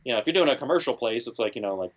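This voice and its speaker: A man, a guest audio engineer, giving relaxed advice in an interview and filling his speech with "you know" and "like". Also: medium pitch, very fast. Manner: laid-back, lots of "you know" and "like". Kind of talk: casual interview talk.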